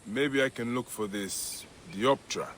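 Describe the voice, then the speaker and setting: An actor playing a stern, protective Egyptian warrior in a video game, speaking in a measured, resolute way. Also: male voice, mid-range voice, a little hiss or hum. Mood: firm, patient. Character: stern, protective adult male Egyptian warrior, calm avenger. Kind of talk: video game cutscene dialogue and muttered asides. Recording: voice under loud game music.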